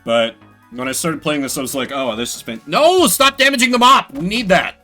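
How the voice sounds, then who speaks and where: A man's voice: a little high, animated, a little fast, bright, forceful tone, faint music behind. A man giving casual live game commentary as a streamer, rambling with playful, self-deprecating asides.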